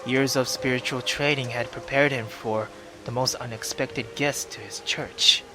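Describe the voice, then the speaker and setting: A man reading a visual novel aloud in a slow, steady storytelling manner, now and then correcting himself. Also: medium pitch, rough recording. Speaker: gaming YouTuber. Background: game music.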